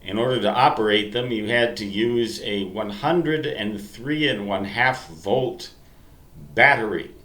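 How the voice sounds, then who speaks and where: An older man reminisces in a roomy, echoing space. His voice sits on the low side, and he takes his time with a deliberate delivery, stressing key words as he wanders through his story. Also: a bit bright. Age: 60s. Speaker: amateur radio hobbyist telling reminiscences. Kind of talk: rambling storytelling monologue to listeners. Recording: roomy, echoey sound.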